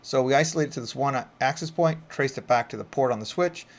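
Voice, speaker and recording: A man with a mid-pitched voice narrates a technical walkthrough as an instructor. He speaks in an informal, conversational way. He explains each step as he goes, in the style of a casual screen-recorded tutorial. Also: a little fast. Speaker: IT instructor and presenter.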